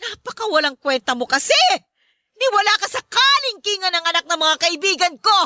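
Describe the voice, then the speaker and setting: An actress playing a short-tempered, nagging working-class mother in a Tagalog radio drama, berating her grown son with sharp exclamations. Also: very high voice, expressive, brisk, dry recording, clean studio sound, exaggerated voice. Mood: exasperated, berating.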